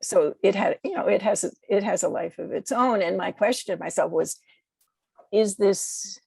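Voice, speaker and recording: An American woman speaking as a guest poet in a reflective interview, halting and searching for words as she corrects herself. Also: medium pitch, creaky, darkish tone, husky, no echo. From United States.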